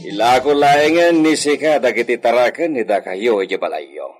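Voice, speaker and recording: Guy doing a stern rich filipino landowner, jealous and bossy, radio drama style, lively pitch, faint music. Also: moderate pace, close to an everyday voice. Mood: commanding. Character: stern, wealthy, bitterly jealous hacienda master.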